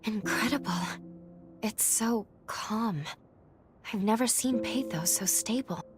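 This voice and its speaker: An actress playing an earnest young female companion in a video game, speaking softly. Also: mid-range voice, slow, music in the background, natural voice. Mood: awed. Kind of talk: dramatic video game acting.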